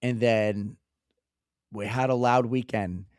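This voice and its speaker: A hoarse man who has lost his voice, co-hosting a podcast in casual, excitable chat with stumbling restarts. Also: lowish voice, takes it slowly, dry recording, clean studio sound. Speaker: podcast co-host.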